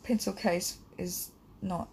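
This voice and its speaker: A woman with a croaky voice from hay fever, teaching a drawing lesson in a relaxed, rambling way with many ums. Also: fairly low pitch, slow pace, a little hiss or hum, rough recording. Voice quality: croaky, gravelly. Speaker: art teacher. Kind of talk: casual drawing tutorial voice-over.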